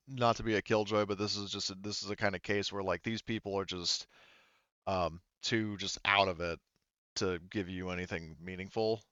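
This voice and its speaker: A man with a smooth, clear, lowish voice acts as game master for a tabletop game. He explains in a casual, hedging, conversational way, recorded dry on a slightly muffled mic. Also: a bit bright, no room sound.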